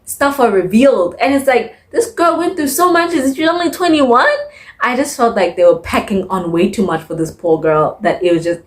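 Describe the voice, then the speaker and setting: A woman reviewing books in a casual, chatty way, expressive and emphatic, often repeating words to stress them. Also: mid-range voice. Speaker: book reviewer. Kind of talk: casual chatty monthly reading wrap-up.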